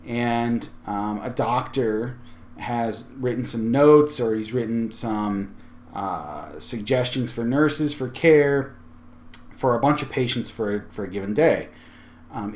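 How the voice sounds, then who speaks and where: A man's voice: mid-range voice, darkish tone. Conversational man hosting a tech tutorial. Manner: informal, conversational, thinking aloud. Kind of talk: casual screen-share tutorial.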